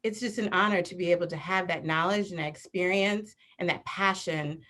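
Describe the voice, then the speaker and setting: A woman from Detroit, a panelist introducing herself on a video call in a gracious, measured way. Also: on the low side, fairly bright tone.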